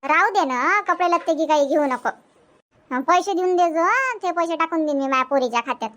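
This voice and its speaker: A stubborn young village wife acted by a woman in a homemade cartoon comedy. The voice is extremely high and sharply bright, with a Vidarbha Marathi accent and a brisk, insistent way of talking. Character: stubborn grown married daughter, young village mother.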